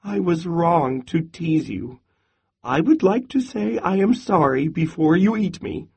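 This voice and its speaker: A man's voice: a little high, expressive, unhurried, vocal fry, breathy edge, voice pushed up, full character voice. A man voicing a small, chirpy young male squirrel from a folk tale, piping and teasing in a sing-song way. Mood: pleading, squeezed.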